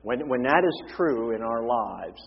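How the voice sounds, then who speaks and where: A hoarse man preaching a sermon as pastor, impassioned, moving between forceful emphasis and hushed tones. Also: medium pitch, expressive, unhurried, a little hiss or hum. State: hoarse, losing his voice. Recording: reverberant hall, dull lo-fi sound.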